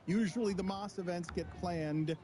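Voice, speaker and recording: Theatrical sports talk host. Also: male voice, high-pitched, normal speed, noisy background. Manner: theatrical, building up hype. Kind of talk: studio talk show chat. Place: studio.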